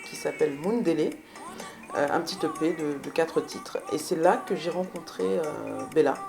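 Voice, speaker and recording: A woman speaks in a deep register with a gravelly, creaky edge. She answers interview questions as a musician, hesitating and pausing often in the middle of her phrases. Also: over music. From Belgium. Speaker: musician, singer and guitarist. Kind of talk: interview answers about personal career.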